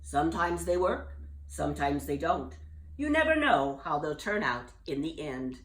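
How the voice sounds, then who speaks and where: A woman voicing a sly, whimsical male wizard in a children's story, speaking slowly in a knowing sing-song. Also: deep voice, big pitch swings. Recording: echoey room.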